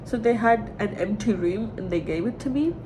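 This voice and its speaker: A woman's voice: animated, moderate pace. A woman in her twenties talking candidly and emotionally in a rambling personal confession, repeating herself for emphasis. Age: 20s. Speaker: vlogger. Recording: clearly noisy with low rumble.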